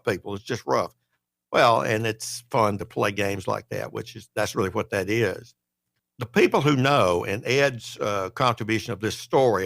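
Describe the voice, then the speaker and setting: A man giving measured, slightly wry explanations as a podcast panelist. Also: lowish voice, creaky, fairly bright tone, no room sound. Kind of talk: panel discussion commentary.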